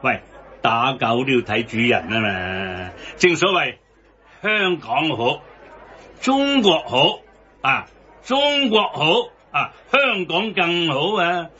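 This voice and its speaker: A man's voice: a little high, big pitch swings, takes it slowly, bright, forceful tone, highs cut off, heavily acted. An actor playing a blustery, worldly-wise middle-aged man who scolds and preaches in colloquial Hong Kong Cantonese in a radio satire. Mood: preachy.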